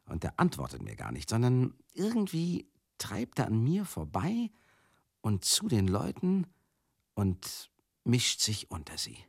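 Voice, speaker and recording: An actor playing a rambling, excitable manager who reminisces in a confiding, theatrical monologue for a radio play. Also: male voice, lowish voice, vocal fry, slightly rough, no echo, heavily acted. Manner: conversational, confiding, theatrical, halting and then bursting out. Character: rambling, excitable manager reminiscing wistfully about old glory days.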